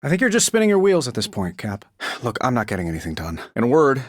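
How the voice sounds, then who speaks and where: An actor voicing a cocky, wisecracking genius inventor superhero in a video game, with an American accent and a sarcastic, glib delivery. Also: male voice, medium pitch, wildly swooping pitch, fast, dry recording, clean studio sound. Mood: dry sarcasm. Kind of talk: video game character voice lines.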